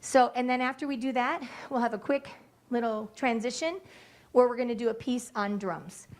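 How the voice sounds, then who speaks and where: A woman music teacher explaining a piece and directing her students in a meeting room. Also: on the high side. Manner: brisk, directive teacher talk. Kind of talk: classroom instruction to students. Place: school board meeting room.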